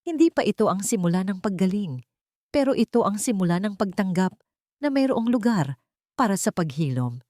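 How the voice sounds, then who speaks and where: A woman narrating a scripted dramatic love story as a storyteller, in measured, short dramatic phrases. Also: medium pitch, big pitch swings, darkish tone, dry recording, studio quality.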